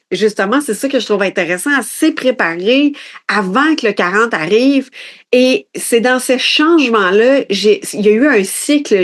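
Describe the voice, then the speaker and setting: A woman in her late forties speaks with a Quebec French accent in an ordinary mid-range pitch. She delivers a reflective podcast monologue as a personal development coach. Her manner is introspective and halting, with phrases begun again as she thinks. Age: late 40s.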